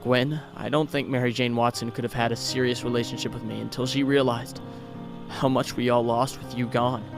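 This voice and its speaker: A young male hero of a comic dub , played by a man, pours out his grief in a mid-pitched voice. He is earnest, reflective and a little halting, tender throughout, with music under him. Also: little pitch movement, creaky, lightly acted. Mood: wistful, grieving. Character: grieving young male superhero, tender and wistful. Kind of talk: comic dub, dramatic monologue.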